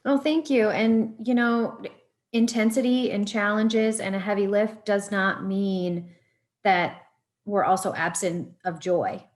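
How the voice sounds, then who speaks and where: Woman moderator on a video call, encouraging, mid-pitch. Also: slow. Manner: encouraging, loose phrasing. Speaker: panel moderator. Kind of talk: moderating a panel.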